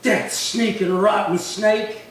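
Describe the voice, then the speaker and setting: An actor playing a bitter, menacing cowboy drifter with a swaggering manner, in old-time radio drama style. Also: male voice, sits high, fairly even intonation, slow pace. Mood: shocked, bitter. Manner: swaggering, threatening. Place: little theatre stage, distant mic. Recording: very echoey hall, far from mic, faint noise.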